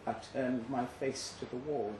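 Guy reading a story out loud at a live event, serious and measured, roomy echo. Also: mid-range voice, steady tone, a little hiss or hum. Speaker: story reader. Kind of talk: dramatic first-person literary narration. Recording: echoey live room.

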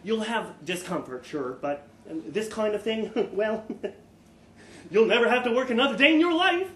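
Glib, chummy male boss acted by a man reading a story, raised pitch, echoey venue. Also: animated, unhurried, bright, forceful tone, exaggerated voice. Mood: placating. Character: glib male boss, reassuring shift manager. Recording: echoey room, live event, background noise.

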